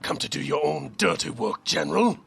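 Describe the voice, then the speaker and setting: An actor playing a resolute, weary army captain in an audio drama, speaking in an earnest, halting, strained way. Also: male voice, fairly high pitch, creaky, noisy background. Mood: defiant.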